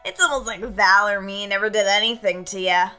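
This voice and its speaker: A woman playing a smug, brassy, catty demon with a taunting drawl in an animated comic dub. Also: medium pitch, fairly quick, clear, resonant tone, faint music behind, lightly acted.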